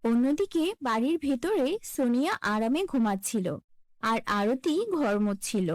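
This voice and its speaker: High-pitched, animated woman storyteller. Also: unhurried, no room sound, clean studio sound. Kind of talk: scripted fairy-tale narration.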